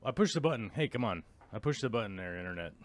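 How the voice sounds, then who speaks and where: A man hosting a live stream from his home office, hoarse and losing his voice, chatting casually and chuckling often as he rambles. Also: fairly low pitch, animated, fast, music in the background.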